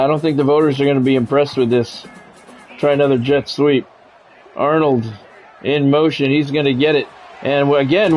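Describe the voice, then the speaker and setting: Male game streamer, middle-aged, higher-than-average pitch, radio-style sports play-by-play mixed with offhand salty chat, music underneath. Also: darkish tone, rough recording. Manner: radio-style play-by-play calls in short clipped phrases, with salty asides. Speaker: gaming streamer and play-by-play commentator. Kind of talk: live sports video game commentary mixed with casual chat.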